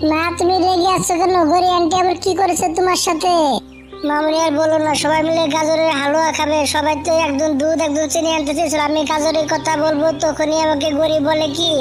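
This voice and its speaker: High, rapid, fretful bird voiced by a woman. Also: flat delivery, natural voice. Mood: worried, insistent. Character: female cartoon birds, chatty, fretful, complaining. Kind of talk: amateur cartoon voice acting. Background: music.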